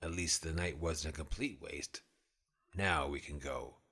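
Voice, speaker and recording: A man's voice: very deep voice, a little slow, sharp tone, slightly rough, exaggerated voice. A man voicing cocky, menacing young male vampires in a comic-book cartoon. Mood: cold, dismissive. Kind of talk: motion comic voice acting. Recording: music behind the voice.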